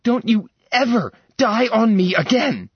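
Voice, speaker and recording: An impassioned young heroine from a fantasy romance storybook, voiced by a man reading aloud. Her voice climbs high and presses forward with eager insistence. There is a husky breathiness to it, in a dry, slightly muffled recording. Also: sing-song, slow, dry recording, full character voice. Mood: insistent. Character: spirited young woman, impassioned lover.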